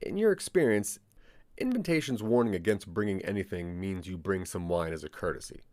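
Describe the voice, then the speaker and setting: Low-voiced, animated male story narrator. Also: brisk, dry recording, clean studio sound. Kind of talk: scripted second-person story narration.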